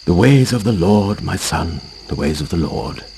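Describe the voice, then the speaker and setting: A sly kindly old-mission priest, gravelly and low, faint noise behind. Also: male voice, expressive, moderate pace, darkish tone. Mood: knowing. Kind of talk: video game cutscene acting.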